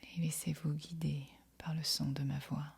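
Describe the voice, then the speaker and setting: A woman guiding a yoga nidra meditation in a breathy, whispery, husky voice, speaking softly and soothingly with long pauses. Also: deep voice, level tone. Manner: soft, soothing, unhurried instruction with long pauses. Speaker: meditation guide.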